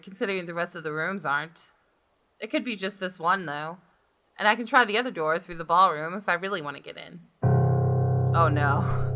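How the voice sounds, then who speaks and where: A woman gaming creator reading visual novel narration aloud, with expressive reactions. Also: mid-range voice. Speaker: gaming YouTuber.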